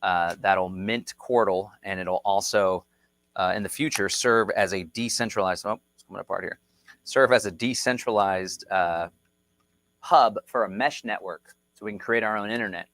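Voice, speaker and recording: A man hosting a casual livestream explainer, talking in an energetic, repetitive, rallying way. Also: lowish voice, no room sound. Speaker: host. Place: Texas.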